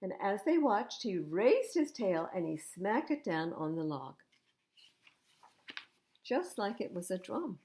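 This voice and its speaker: An older woman reading picture books aloud as a library storytime host, in an expressive, animated manner. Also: mid-range voice, slow, long pauses. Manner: animated, acting out dialogue.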